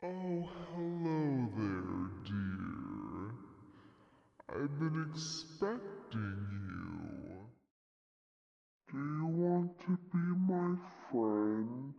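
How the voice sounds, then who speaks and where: A man voicing a lonely, ugly video game creature pleading for friendship, gravelly and halting. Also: medium pitch, sing-song, no echo, muffled recording, exaggerated voice. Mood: creepy welcoming. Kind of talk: video game cutscene acting.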